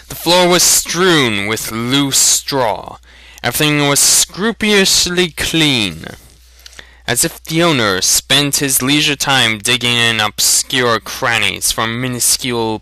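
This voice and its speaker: A man giving a dramatic, expressive narration of a fantasy storybook in a deliberate manner. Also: mid-range voice, clear, resonant tone, dry recording, rough recording. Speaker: reader of a fan dramatic reading.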